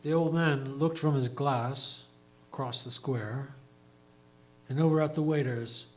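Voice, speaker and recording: A man's voice: medium pitch, fairly even intonation, unhurried. A man giving scripted literary narration in a reader's theatre performance.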